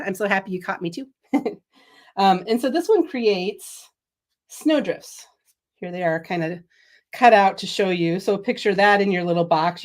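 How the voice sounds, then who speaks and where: A middle-aged woman hosting a casual craft product livestream, chatty and laughing often, a little sniffly from a cold. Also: mid-range voice. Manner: chatty, laughs often, reads viewer comments. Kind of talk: casual product demo chat.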